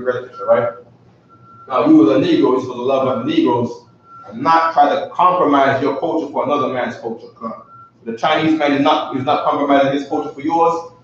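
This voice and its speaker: A man's voice: medium pitch, slight background noise. A man teaching a casual live class, who keeps repeating himself and asking "you know what I'm saying?". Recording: echoey, distant, dull live stream.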